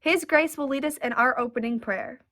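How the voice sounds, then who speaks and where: A woman's voice: high-pitched, moderate pace, bright, forceful tone. A woman playing a regal, courteous queen in a radio play, speaking formally and ceremoniously. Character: regal, courteous queen presiding over a feast. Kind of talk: 1940s radio play acting.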